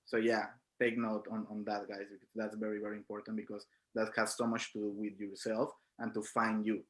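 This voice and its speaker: A man's voice: on the low side, monotone, a little fast. Emphatic man with a Mexican accent. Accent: Mexican Spanish. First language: Spanish. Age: young adult. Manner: emphatic, earnest. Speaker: panelist. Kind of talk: panel discussion.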